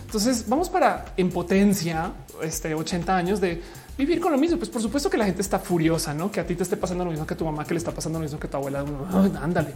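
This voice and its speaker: A woman with a Mexican accent and a fairly low, very mellow voice. She hosts a livestream, reading viewer comments aloud and replying in loose, colloquial chat full of slang. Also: lively intonation, quick pace, soft background music. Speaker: livestream host answering viewer questions.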